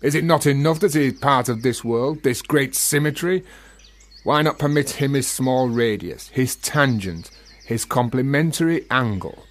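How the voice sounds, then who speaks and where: An actor playing a sly, philosophising gravedigger in a radio play, florid, teasing and mock-humble. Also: male voice, mid-range voice, normal speed, natural voice. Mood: earnest pleading.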